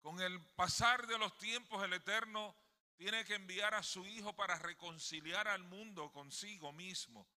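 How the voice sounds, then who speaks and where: A man's voice: high-pitched, unhurried, pushed and bright. A man with a Puerto Rican accent preaches a sermon emphatically, often checking for agreement from his congregation. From Puerto Rico. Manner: emphatic, often seeks agreement with tag questions. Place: indoors in a sanctuary. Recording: long hall reverb.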